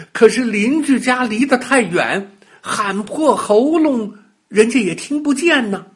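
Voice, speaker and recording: A man telling a children's bedtime story in a dramatic, expressive way, with exclamations and sound words. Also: high voice, gravelly, husky.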